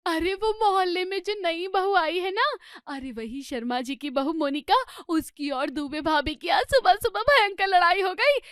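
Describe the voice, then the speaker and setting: A woman acts out an excitable middle-aged housewife in a comedy drama. Her voice is very high and dry. She gossips with relish, nags and scolds in a dramatic, exclamatory way. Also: measured pace, dry recording, exaggerated voice. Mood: gossipy, excited. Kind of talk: Hindi comedy story dramatisation.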